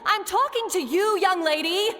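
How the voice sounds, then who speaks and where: Very high-voiced, protective mother. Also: moderate pace, bright, forceful tone. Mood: scolding. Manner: earnest, emphatic. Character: scolding, protective village mother. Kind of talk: dubbed anime game acting. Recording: long reverb, music behind.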